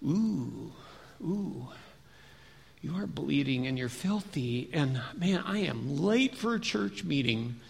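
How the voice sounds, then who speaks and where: A man's voice: fairly high pitch, lively intonation, slow pace, creaky, darkish tone, slightly rough, exaggerated voice. A man voicing a self-important, squeamish preacher in a children's story, fussy and dismissive. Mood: disgusted, hurried.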